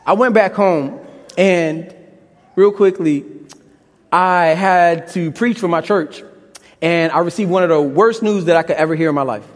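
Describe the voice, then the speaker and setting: A man's voice: on the high side, measured pace. A young man from Brooklyn with a Brooklyn accent preaching a sermon on a campus, with impassioned rhetorical questions and a little casual slang. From Brooklyn, New York. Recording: long reverb in a large hall.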